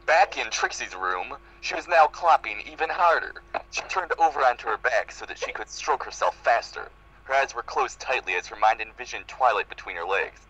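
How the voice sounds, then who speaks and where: A man's voice: medium pitch, lively intonation, normal speed, sharp tone, a little hiss or hum, low-quality recording. A man reading fan fiction aloud as a dramatic amateur narrator. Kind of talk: dramatic group reading with laughter breaks.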